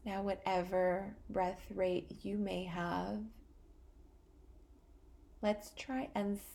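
A woman hosting a guided relaxation meditation, speaking calmly and soothingly in short phrases with long pauses. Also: medium pitch, vocal fry. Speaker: guided meditation podcast host.

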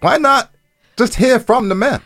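A man's voice: extremely high pitch, a little slow, a bit bright, dry recording, clean studio sound. A man co-hosting a podcast in casual, slangy talk, repeating phrases for emphasis, with music behind him. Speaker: podcast co-host.

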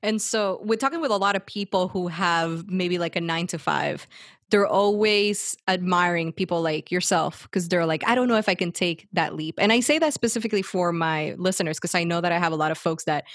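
A woman's voice: on the low side, a little fast, no echo, studio quality. A woman from Puerto Rico hosting a podcast and interviewing a guest in an upbeat, friendly way. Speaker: podcast host. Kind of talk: casual interview.

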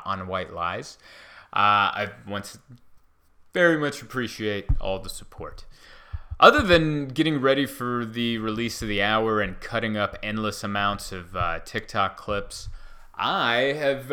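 A man's voice: on the low side, pushed and bright. A man in his thirties, born in Hungary and speaking English as a second language, chatting casually with a slightly slurred, rambling diction and no foreign accent. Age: 30s. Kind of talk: casual chat.

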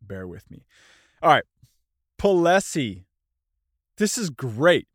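A man's voice: fairly high pitch, big pitch swings, takes it slowly, husky, no echo, clean studio sound. A man in his thirties hosting his own podcast, talking loosely in one take, a little congested and nasal. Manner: loose, self-deprecating, unscripted one-take delivery. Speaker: podcast host. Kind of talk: casual solo podcast talk.

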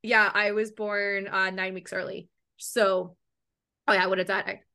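A woman's voice: mid-range voice, little pitch movement, a little slow, sharp tone. A woman in her late twenties from Canada, hosting a casual podcast interview, chatty and swearing freely. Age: 20s. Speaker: podcast host.

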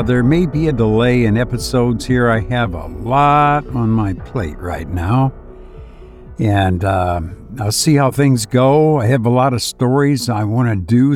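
A man hosting and reading for a horror story podcast, speaking in a measured, deliberate way. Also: mid-range voice, darkish tone. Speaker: podcast host and story reader. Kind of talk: slow intimate horror narration and host talk.

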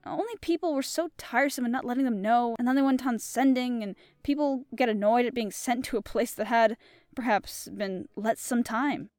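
A woman's voice: on the high side, normal speed, darkish tone, no room sound, clean studio sound, faint music behind, voice pushed up, lightly acted. A woman reading aloud and voicing a chatty, breathless middle-aged housewife who gushes and rambles. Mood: gushing. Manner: gushing and rambling.

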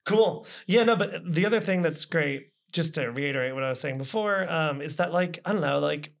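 Male podcast host, somewhat high-pitched, informal, wry and playfully exaggerating. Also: moderate pace, gravelly. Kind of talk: casual chat.

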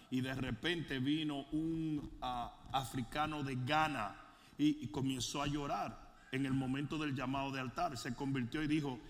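A man preaching as a bishop, moving from calm storytelling to fervent, shouted prayer. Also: medium pitch, in a large hall, slight background noise. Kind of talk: sermon and prayer.

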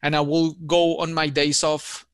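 A man's voice: on the high side, flat delivery, unhurried, a bit bright, smooth, no echo. Upbeat Colombian-accented man, dry sound. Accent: Colombian Spanish. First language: Spanish. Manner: friendly, enthusiastic, says man and you know often. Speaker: architect and podcast guest. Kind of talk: casual interview storytelling.

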